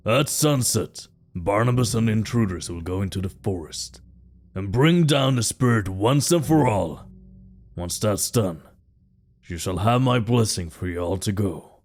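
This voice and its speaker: A man playing a solemn, commanding king in a fantasy audio drama, speaking in a formal, measured, authoritative way. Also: on the low side, animated, darkish tone.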